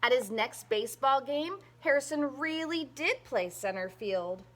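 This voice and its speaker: A woman reading a children's picture book aloud in an expressive, emphatic storytelling manner. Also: high-pitched, a little slow, slight background noise.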